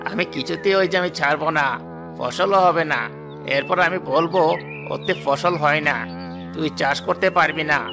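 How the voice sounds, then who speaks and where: A man voicing a greedy, sly, two-faced village farmer in an animated moral story. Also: a little high, normal speed, gravelly, music in the background. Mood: gloating, scheming. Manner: falsely reassuring, gloating.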